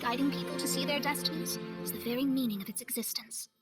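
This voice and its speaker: High-voiced young woman astrologer, played by an actress, over music. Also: close to an everyday voice. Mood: solemn, tender. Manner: reflective, measured, theatrical. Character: young woman astrologer, earnest, eloquent and wistful. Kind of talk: video game voice acting. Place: studio, with music behind.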